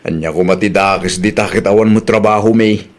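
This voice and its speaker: A man's voice: on the low side, fairly even intonation, a little fast, natural voice. A man playing a stubborn middle-aged village husband who shrugs off every warning in a teasing, dismissive way. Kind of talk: audio drama acting.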